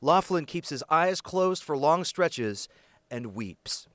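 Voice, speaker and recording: An American man reporting investigative news in a scripted, emphatic and measured broadcast delivery. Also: on the high side, animated, fairly bright tone, no echo.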